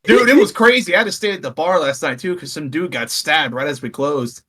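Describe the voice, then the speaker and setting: Animated man telling a wild story. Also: a little fast. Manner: animated, swings pitch widely while joking. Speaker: player. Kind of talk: casual banter among players.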